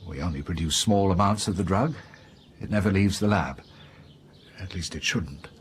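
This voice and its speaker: Male professor, actor, middle-aged, for radio drama: low-pitched, formal, cautious explainer, faint background noise. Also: natural voice. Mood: guarded, explanatory. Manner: measured, explanatory, slightly guarded. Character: careful, defensive male university professor running a lab. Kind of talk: naturalistic radio drama acting.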